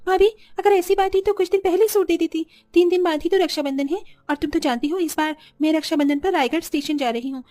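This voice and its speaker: Woman as a high-voiced earnest young seamstress. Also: fast talker, few pauses, mellow. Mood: eager, polite. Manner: polite, pleading. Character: earnest young village seamstress, eager sister. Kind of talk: animated story dialogue.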